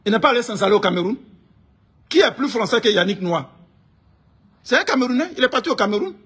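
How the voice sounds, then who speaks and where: A man with an Ivorian accent speaks in a high-pitched, raspy-edged voice. He rants informally about his views in an indignant, emphatic way, often hammering a phrase by repeating it. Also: expressive, fast talker, a bit bright. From Ivory Coast. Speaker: online political commentator. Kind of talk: informal political rant to camera.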